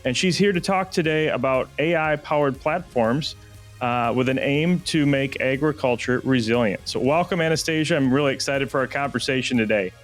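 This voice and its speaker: A man hosting a podcast, asking relaxed, friendly interview questions. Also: mid-range voice, moderate pace, soft background music. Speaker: podcast host.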